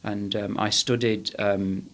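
Manchester man, 40s, podcast guest; halting, thoughtful delivery. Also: on the low side, flat delivery, fairly bright tone. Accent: Manchester English. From England. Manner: hesitant, many restarts and fillers. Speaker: guest, head of a management body. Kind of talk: podcast interview.

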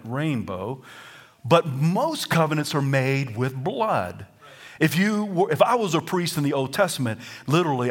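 A man with a Southern US accent preaching a sermon as pastor, lively and at times rising to near-shouts and singing. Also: mid-range voice.

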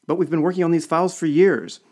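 A man's voice: a little high, steady tone, fast, a little mellow, pitched above the performer's own voice, close to an everyday voice. A man voicing an indignant, flustered trade commissioner in an audiobook, exclaiming and protesting.

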